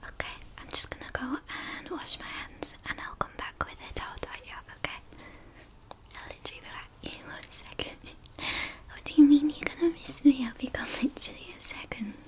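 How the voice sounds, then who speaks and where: Young female ASMR whisperer: breathy and high, gentle giggles, unhurried and caring. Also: low-quality recording. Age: young adult. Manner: soft whispering, giggly and doting. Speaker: ASMR creator. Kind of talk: ASMR girlfriend roleplay. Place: bedroom with purple lights.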